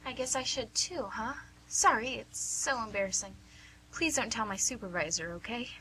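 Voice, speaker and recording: A woman's voice: on the high side, moderate pace, bright, forceful tone. A woman playing a cheerful, probing, slightly fumbling nurse in a radio drama, speaking in a coaxing, conversational way. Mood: sheepish. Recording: noisy amateur recording with faint hum.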